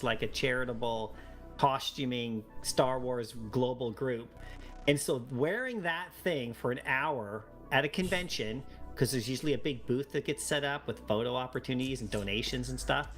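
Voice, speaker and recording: A man's voice: mid-range voice, a bit bright. A man chatting casually and enthusiastically as a livestream co-host, rambling along in a loose, easygoing flow. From Canada. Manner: enthusiastic, rambling, chuckles often. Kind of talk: casual chat.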